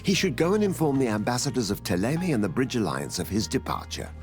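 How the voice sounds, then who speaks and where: Man playing a stern tutor with swooping pitch. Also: sing-song, fast, soft background music, natural voice. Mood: exasperated, worried. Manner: scolding, formal, worried. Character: stern, exasperated older male tutor of noble youths. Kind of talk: video game character acting.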